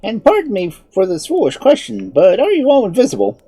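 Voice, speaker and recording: A narrator acts out a courteous male wizard from a children's tale. He sounds husky and careful, with lively rises and falls as he explains himself. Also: medium pitch, brisk, voice pushed up. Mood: astonished. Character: puzzled, polite, cautious male wizard in a fairy tale.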